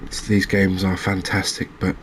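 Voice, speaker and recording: A man with an English accent giving soft-spoken ASMR game commentary in a hushed, unhurried, hesitant manner. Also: low voice, monotone, a little hiss or hum, low-quality recording. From England. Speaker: gaming video host.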